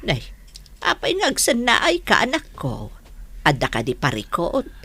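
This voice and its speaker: A woman's voice: mid-range voice, wildly swooping pitch, measured pace, sharp tone, a little hiss or hum, exaggerated voice. A woman playing a caring, soothing mother of a grown son in a Filipino radio drama, with an Ilocano accent. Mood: concerned. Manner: soothing, concerned.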